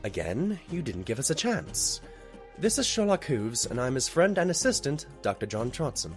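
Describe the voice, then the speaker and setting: Man as an animated, earnest pony doctor. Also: medium pitch, moderate pace, soft background music, close to an everyday voice. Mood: exasperated. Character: earnest, polite, exasperated male pony doctor, detective's assistant. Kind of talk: cartoon fan audio drama with narration.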